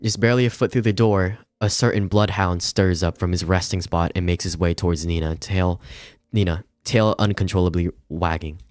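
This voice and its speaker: A man's voice: sits low, steady tone, normal speed, no room sound. A man streaming a visual novel, reading the narration aloud with casual asides and some stumbles over the words. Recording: music behind the voice.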